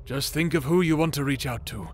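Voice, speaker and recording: A man's voice: on the high side, fairly quick, a little hiss or hum, lightly acted. A man playing a probing, taunting figment of someone's thoughts in an audio drama, speaking formally with archaic phrasing. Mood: measured, cautioning. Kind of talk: audio roleplay drama acting.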